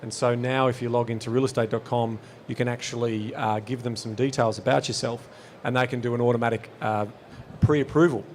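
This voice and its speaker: A man's voice: medium pitch, fairly even intonation, a little fast, a little mellow, slight background noise. A man hosting a live podcast on an expo stage, asking long, winding interview questions. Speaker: podcast host.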